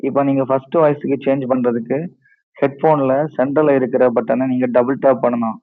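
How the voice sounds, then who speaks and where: A man's voice: mid-range voice, flat delivery, a little fast, no echo. Tinny phone-like man demonstrating a gadget. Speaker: product demonstrator. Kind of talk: instructional product demonstration. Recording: tinny, dry phone-like audio.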